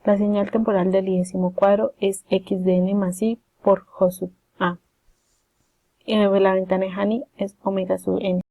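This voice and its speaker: A woman's voice: mid-range voice, level tone, measured pace, no echo, narrow, tinny audio. A woman presenting a scripted technical lesson in a formal, methodical way, reading it out step by step. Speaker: presenter explaining signal processing.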